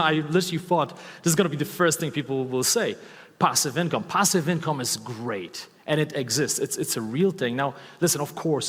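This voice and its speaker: A man's voice: medium pitch, breathy edge. A man in his thirties with a Polish accent, giving an enthusiastic stage talk and often asking the audience questions. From Poland. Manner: enthusiastic, asks the audience questions, repeats key words. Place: large hall with live audience. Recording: long hall reverb from a stage talk.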